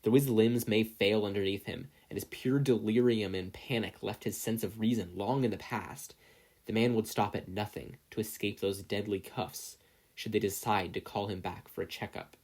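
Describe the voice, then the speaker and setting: A man's voice: lowish voice, fairly even intonation, moderate pace, a little mellow. A man reading scripted fiction aloud as a story narrator. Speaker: podfic reader.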